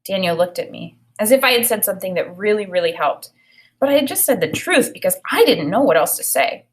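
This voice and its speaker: A woman teacher reading a children's story aloud in an expressive, dramatic way, pausing to comment for learners. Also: mid-range voice.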